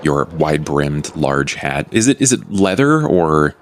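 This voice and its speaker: Very deep-voiced man, roleplay player. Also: sing-song, measured pace, slight background noise. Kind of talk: in-character description in casual talk.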